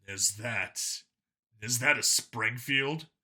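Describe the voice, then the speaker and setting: A man voicing a gruff, stubborn cop and urban gunfighter in an audiobook, dry and emphatic. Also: mid-range voice, slow, pushed and bright, heavily acted. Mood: surprised. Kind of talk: acted audiobook dialogue.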